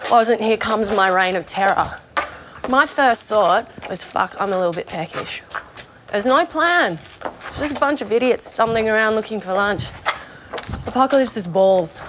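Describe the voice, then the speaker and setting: A woman's voice: medium pitch, expressive, moderate pace, background noise, lightly acted. A woman playing a jaded, opinionated vegetarian zombie in a comedy film, talking in a sardonic, sweary, conversational rant. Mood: scornful, exasperated. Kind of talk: naturalistic short-film comedy acting, mock interview.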